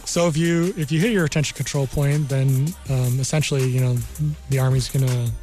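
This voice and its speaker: An American man, a guest on a radio interview, explaining things in a measured, halting way. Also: mid-range voice, fairly even intonation, darkish tone. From New Mexico, United States. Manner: halting, measured, repeats "you know". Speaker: guest, army career counselor. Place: radio studio. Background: music.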